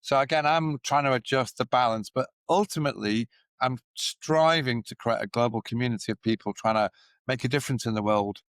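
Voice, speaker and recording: A man's voice: medium pitch, brisk, a bit bright, no echo. Reflective man guest on a podcast. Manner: reflective, thinking aloud with hedging fillers. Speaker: guest, company founder and CEO. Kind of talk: casual conversational interview.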